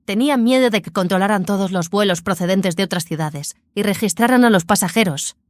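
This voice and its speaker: Casting: actress as concerned, questioning female accomplice, mid-pitched, close dry sound, sci-fi audio drama. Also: brisk, no echo, natural voice. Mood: worried. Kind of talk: science fiction audio drama dialogue.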